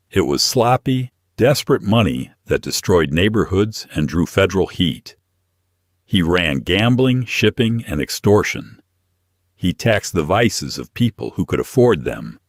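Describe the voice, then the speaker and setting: A man's voice: low-pitched, no room sound, clean studio sound. A man narrating a scripted story in a dramatic audiobook style.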